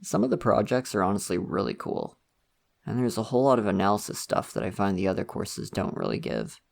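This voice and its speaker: A man's voice: fairly low pitch, wildly swooping pitch, fairly quick, creaky, a little hiss or hum, exaggerated voice. A young man voicing a friendly, slightly shy college student in an ASMR roleplay, talking casually and laughing often. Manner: casual, laughs often, soft-spoken and chatty.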